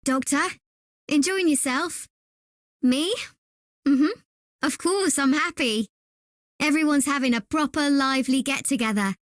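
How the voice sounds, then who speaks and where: A woman voicing a cheerful, generous, easygoing young game character with a friendly, gentle manner. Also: sits high, unhurried, long pauses, no room sound, studio quality. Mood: contented.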